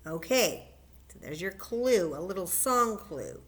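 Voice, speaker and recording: Woman, children's librarian; slow, lower voice, gentle and encouraging with little ones. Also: animated. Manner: gentle, encouraging, chatty, singing simple tunes. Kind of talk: story time for kids with sung songs.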